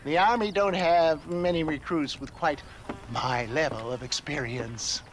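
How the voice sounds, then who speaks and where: A man playing a slow, chuckling old frontier rogue. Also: fairly high pitch, a little hiss or hum, close to an everyday voice. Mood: jovial, sly. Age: older. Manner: chuckly, hesitant, florid and genteel. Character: chuckling, flamboyant elderly frontier rogue with florid speech. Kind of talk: theatrical video game voice acting.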